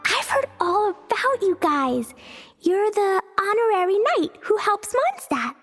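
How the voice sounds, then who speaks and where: A sweet, excitable little girl from an anime game. The voice is extremely high and bouncy in pitch, slow and earnest in a childlike way, with faint music underneath. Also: exaggerated voice. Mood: earnest, nervous. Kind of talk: anime video game voice acting.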